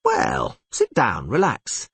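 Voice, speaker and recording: Jittery young male bard, man voicing, eager, lively, dry recording. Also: lowish voice, slow pace, creaky, slightly rough, dry recording, heavily acted.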